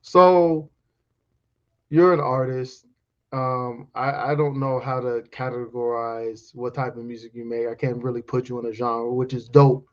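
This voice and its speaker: A man's voice: mid-range voice, level tone, measured pace. A young man hosting an interview, talking in a casual, slangy, upbeat way. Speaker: interview host. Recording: dull lo-fi sound.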